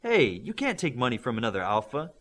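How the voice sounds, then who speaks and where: A man reading an audiobook, voicing a measured, responsible young man in charge. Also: mid-range voice, huge pitch swings, fairly bright tone. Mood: steady.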